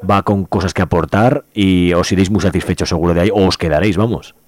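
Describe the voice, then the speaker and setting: Brisk, deep-voiced male radio host. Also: darkish tone. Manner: brisk, encouraging, frequent backchannel sounds. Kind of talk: radio interview.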